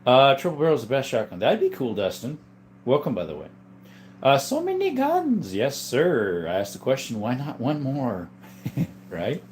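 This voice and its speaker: A man hosting a casual livestream from a room full of guns, reading viewer comments aloud in a relaxed, joking way. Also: medium pitch, normal speed, a little hiss or hum. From Arizona. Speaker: livestream host reading chat. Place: indoors, room with guns on display.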